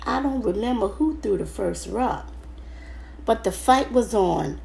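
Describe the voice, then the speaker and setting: A woman reading a children's book aloud in first person, halting now and then over the words. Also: medium pitch, animated, creaky, a little mellow, rough recording. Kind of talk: storybook read-aloud narration, first person.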